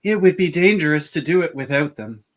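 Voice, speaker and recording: A woman playing a concerned female starship doctor in an amateur podcast radio play, with an animated manner. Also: low-pitched, brisk. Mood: cautious.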